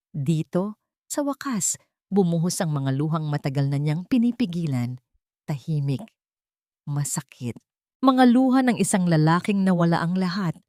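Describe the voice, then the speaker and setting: A woman narrating a scripted audio drama in short, deliberate phrases with dramatic pauses. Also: low voice, a little mellow, no echo, clean studio sound.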